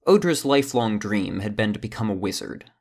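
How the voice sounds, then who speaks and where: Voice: medium pitch, lively intonation, fairly quick, a little mellow. A narrator reading scripted narration for a fantasy audio drama.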